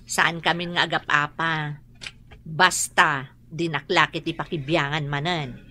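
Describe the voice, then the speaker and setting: A woman's voice: deep voice, steady tone, a little slow, bright, forceful tone, a little hiss or hum. A woman with a Filipino accent playing a preoccupied, touchy mother in a radio drama. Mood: irritated.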